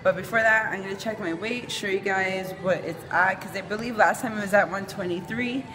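A woman's voice: mid-range voice, brisk. Chatty young woman vlogging by phone. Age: young adult. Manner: chatty, slangy, talks to viewers as she goes. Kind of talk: casual vlog chat. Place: home, then a gym indoors. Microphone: phone held at arm's length.

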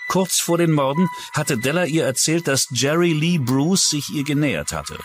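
Man narrating true crime, lively intonation. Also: fairly high pitch, normal speed, music in the background. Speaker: documentary narrator.

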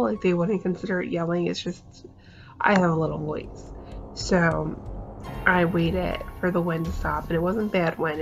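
Casting note: young female vlogger with a low voice, casual and chatty, faint music underneath. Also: vocal fry, poor audio. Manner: chatty, retelling events with frequent "like" and "I'm like". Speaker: paranormal vlogger. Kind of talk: casual vlog talk to camera. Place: bedroom indoors. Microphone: camera facing her at desk distance.

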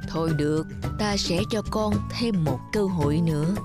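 Woman voicing a measured, stern head monk. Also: lowish voice, lightly acted. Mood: disappointed, forgiving. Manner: admonishing, measured. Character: stern but patient male head monk, teacher. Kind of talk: narrated cartoon fairy tale. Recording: music behind the voice.

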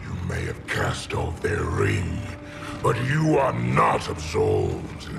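A huge alien warlord of pure vengeance. His growling, gravel-laden voice swings wildly from deep rumbles to roars. He draws his words out slowly with grandiose menace, over a loud score. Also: wildly swooping pitch, music in the background, exaggerated voice. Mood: vengeful. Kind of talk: dramatic video game cutscene acting.

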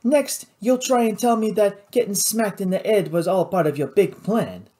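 A man's voice: high voice, normal speed, mellow, voice pushed up, close to an everyday voice. A man voicing a hot-tempered pirate boss in an audiobook, indignant and scolding, peppering his talk with French exclamations. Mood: scowling, accusing.

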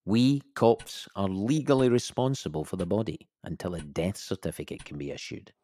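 An actor playing a measured, formal investigator of a suspicious death in an audio drama. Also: male voice, low-pitched, sing-song, dark tone. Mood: measured, official. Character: dry, officious male investigator of a suspicious death.

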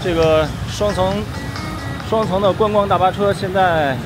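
A man's voice: high voice, steady tone, a little slow, over music. A man walking a busy city street, giving chatty, wry running commentary for a travel vlog. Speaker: travel vlogger. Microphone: handheld camera carried while walking. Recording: street traffic noise behind. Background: traffic and motorbike noise.

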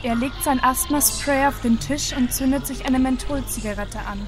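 A woman's voice: high-pitched, moderate pace, lightly acted. A woman acting as a detached narrator in a surreal literary radio play, reciting her lines. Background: faint music.

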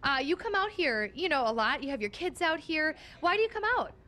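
A woman's voice: high-pitched, big pitch swings, a little fast, bright, forceful tone. A young woman field reporter doing a bubbly, upbeat live morning news segment inside a coffee shop, speaking into a handheld mic.

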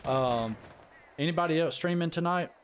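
A man's voice: a little high, normal speed, a little hiss or hum. A man chatting casually and folksily as he hosts a live gaming stream, saying y'all. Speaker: livestream host. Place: voice chat during an online game stream.

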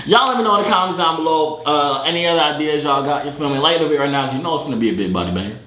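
A young man hosting a comedy video, sliding between a loud, high-pitched mock British accent and a low, casual drawl. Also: animated, very fast, reverberant. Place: bedroom studio, indoors.

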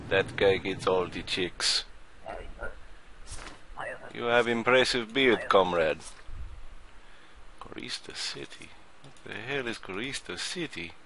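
A low, gravelly voice growls out curt, broken English as a grumpy, crude, overworked border inspector, as a man playing a game puts him on. He drawls slowly with a tense, edgy bite. The voice stays gruff and dismissive. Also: sharp tone, slight background noise, heavily acted, rough recording. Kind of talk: let's play commentary in character.

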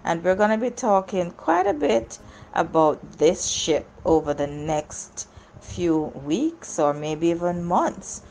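A woman hosting an informal promotional video as a travel agent, speaking in deliberate, halting phrases. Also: low voice, a little mellow, a little hiss or hum, low-quality recording. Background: music.

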